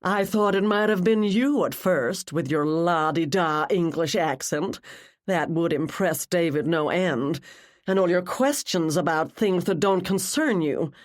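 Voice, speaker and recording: A woman's voice: on the low side, measured pace, natural voice. Woman putting on a haughty, sneering rich wife. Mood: gloating. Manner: bored disdain, drawling mockery. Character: haughty, sneering wealthy wife, cruel and mocking.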